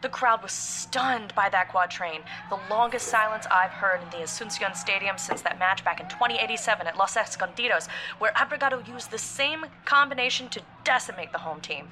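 A woman's voice: mid-range voice, brisk, lightly acted. A woman acting as a dramatic, polished play-by-play sports announcer in a futuristic audio drama. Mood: dramatic, impressed. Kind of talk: sports commentary.

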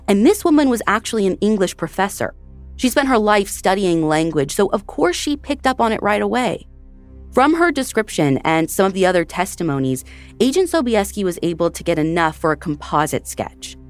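A woman hosting a true crime podcast, narrating the case in a conversational way and speaking directly to her listeners. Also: lowish voice. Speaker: podcast host.

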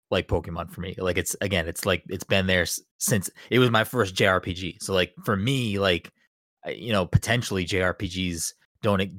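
A man chatting on a podcast, rambling eagerly with lots of fillers and quick laughs. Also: low voice, a bit bright, no echo, studio quality. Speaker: podcast co-host. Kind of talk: casual chat.

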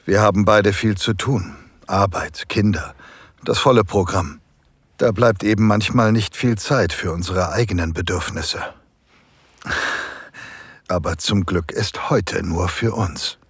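An actor playing an eager, submissive married man in an intimate erotic audio drama, speaking in a husky, breathy, halting voice. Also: on the low side, no echo. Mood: confiding. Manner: slow, hushed, halting with moans. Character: submissive married man, construction foreman, eager, aroused, pleading.

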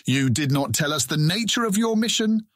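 An actor playing a blunt, suspicious ranger scout in a dramatized fantasy audiobook. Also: male voice, a little high, lively intonation, normal speed, fairly bright tone, no room sound, clean studio sound.

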